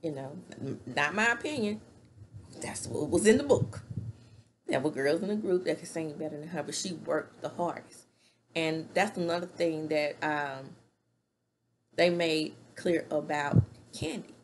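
A woman's voice: sits low, unhurried, a little hiss or hum. A middle-aged woman giving a chatty, opinionated recap of a reality show from a room at home, recorded on a webcam. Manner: chatty and opinionated, hand gestures. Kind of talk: casual recap commentary. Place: small room at home, indoors. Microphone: webcam at desk distance.

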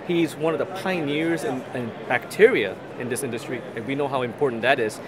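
A man's voice: mid-range voice, a little fast, noisy background. A man in his thirties hosting an aquarium channel, walking through a trade show and showing products with eager, self-deprecating chatter. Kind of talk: trade show walkthrough and interviews. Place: busy indoor expo hall. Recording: crowd chatter from a busy hall.

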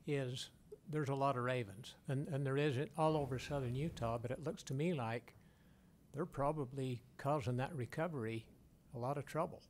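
A man's voice: mid-range voice, moderate pace, darkish tone, slight background noise. Plain-spoken man on a board. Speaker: board member. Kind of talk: asking questions at a public meeting.

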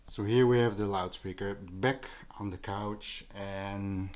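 A man talking casually as a vlog host, explaining things in a musing, unhurried manner while filming indoors. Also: fairly low pitch, steady tone, a little mellow. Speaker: audio vlogger, host. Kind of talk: casual vlog talk over handheld footage. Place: living room with carpet and couch, indoors.

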